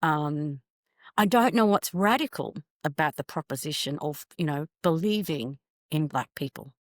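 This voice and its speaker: A woman's voice: deep voice, expressive, normal speed, dry recording, studio quality. A woman professor speaking as an interview guest, thoughtful and hesitant, with frequent ums. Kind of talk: reflective conversation.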